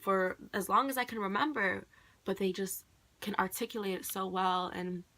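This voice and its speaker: A woman's voice: mid-range voice, fairly even intonation, normal speed, bright, forceful tone. A young woman, a college student whose first language is Khmer, rambling casually and thoughtfully to camera with many "like"s and "um"s. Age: young adult. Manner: rambling, heavy on "like" and "um".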